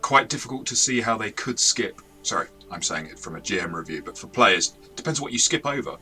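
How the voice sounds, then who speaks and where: A man's voice: on the low side, lively intonation, fairly quick, pushed and bright, soft background music. A man co-hosting a casual review chat as the game master, chatty and stammering on restarts. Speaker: podcast co-host, game master.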